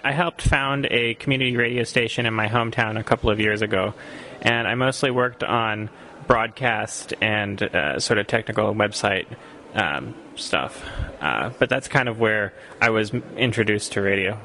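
Young costa rican exchange student on radio, bright forward voice, hesitant ums, faint hiss. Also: male voice, fairly low pitch, moderate pace. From Costa Rica. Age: young adult. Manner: thoughtful, many ums and restarts. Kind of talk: radio interview answers.

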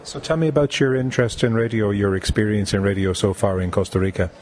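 Brisk low-voiced radio presenter man. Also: slight background noise. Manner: brisk, steady questioning. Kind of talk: radio interview.

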